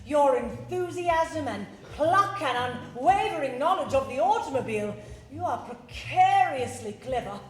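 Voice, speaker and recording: A woman playing a nervous young gentleman suitor in a live radio play, stammering, flustered and overly formal. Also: high voice, lively intonation, a little slow. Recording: roomy stage sound from a distance, with music.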